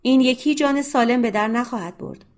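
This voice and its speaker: A woman voicing a fierce, defiant doctor's wife who urges others on and shouts in anger, in an audiobook. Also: a little high, pitched above the performer's own voice, lightly acted. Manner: urgent, angry shouting.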